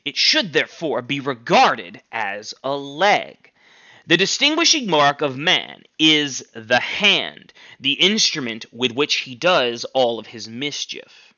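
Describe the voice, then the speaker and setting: A clever pig revolutionary from a storybook, read by a man, lecturing with deliberate, wordy precision. The tone is forceful and bright, the recording close and a little dull. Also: medium pitch, big pitch swings, no room sound, full character voice. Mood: didactic, emphatic. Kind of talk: storybook character reading.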